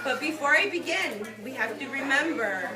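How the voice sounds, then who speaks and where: A woman's voice: high-pitched, lively intonation, moderate pace, distant mic, very noisy. A woman teaching young children, reading a picture book aloud and explaining words in simple, instructive phrases with repeated prompts to the class. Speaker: preschool or kindergarten teacher. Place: indoor classroom with carpet. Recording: echoey classroom from afar, children around.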